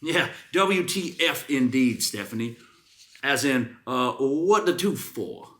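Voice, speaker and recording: Bright-voiced Southern man, theatrical reader. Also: mid-range voice, lively intonation, slight background noise. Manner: theatrical, emphatic, mock-serious reading with drawn-out words. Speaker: comedy show co-host. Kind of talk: dramatic reading of product reviews with casual banter.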